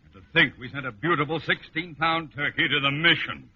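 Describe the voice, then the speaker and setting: An American actor playing a grumpy, blustering older husband in a 1940s radio sitcom, grumbling in exasperation. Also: male voice, fairly high pitch, steady tone, fairly quick, husky. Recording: dull noisy vintage radio, audience behind.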